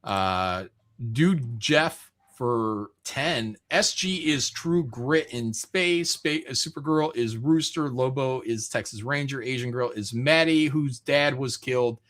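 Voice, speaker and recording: A man's voice: medium pitch, slow, a bit bright. A man hosting a livestream, chatting casually and reading out viewer comments in an irreverent, joking, profane way. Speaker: livestream host.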